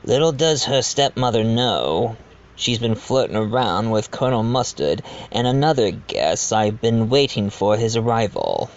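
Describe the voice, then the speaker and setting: A man plays a genial widowed doctor hosting a mystery dinner in a fan audio drama. He talks slowly with lively swings of pitch, confiding and a bit hesitant. The recording is a little dull and lo-fi. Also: noisy background. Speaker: narrator and host of the dinner party. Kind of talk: fan audio drama acting with narration asides.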